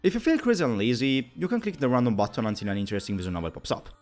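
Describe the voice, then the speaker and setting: A man's voice: mid-range voice, animated, rapid-fire, soft background music. A man hosting a tutorial, narrating with playful, mock-formal phrasing. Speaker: video host.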